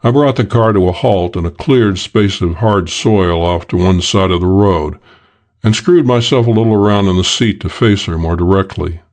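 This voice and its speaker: A man reading a first-person crime audiobook in a measured, dry hardboiled delivery. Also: low-pitched, darkish tone. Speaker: audiobook narrator.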